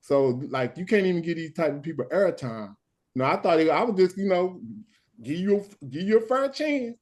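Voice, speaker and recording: Halting, tired late-night stream host. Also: male voice, high-pitched, expressive, darkish tone. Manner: halting, many restarts and "hold on". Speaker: stand-in host of a live stream. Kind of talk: casual live-stream talk.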